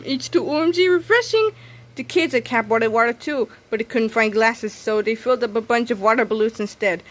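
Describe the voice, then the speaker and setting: A woman's voice: fairly high pitch, a bit bright. A woman reading a children's comic book aloud expressively, sometimes stumbling over words. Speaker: read-aloud storyteller.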